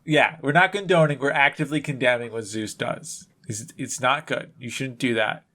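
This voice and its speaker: Man in halting, jokey podcast chat. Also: mid-range voice, bright, forceful tone. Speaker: podcast co-host. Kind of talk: casual comedic chat.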